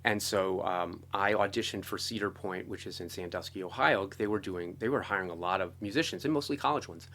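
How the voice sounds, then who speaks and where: Middle-aged man, American accent, lowish pitch, casual storytelling, animated, chatty interview guest. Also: a little fast, hardly any pauses. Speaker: interview guest, composer and guitarist.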